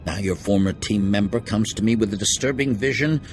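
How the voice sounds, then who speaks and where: Stern, low-voiced Jedi councilman played by an actor. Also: fairly quick, close to an everyday voice. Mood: disapproving. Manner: formal, disapproving. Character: stern, skeptical male Jedi council master. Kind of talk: cinematic video game acting.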